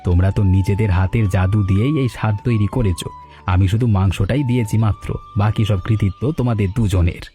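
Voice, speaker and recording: A man's voice: on the low side, fairly even intonation, quick pace, mellow, soft background music, lightly acted. A man playing a friendly, humble butcher in a cartoon story, amiable and effusive with his praise. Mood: humble, generous.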